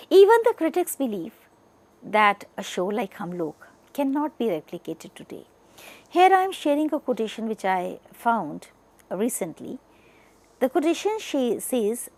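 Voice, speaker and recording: A woman's voice: on the high side, darkish tone. A woman with an Indian accent giving scripted educational narration as a media education presenter.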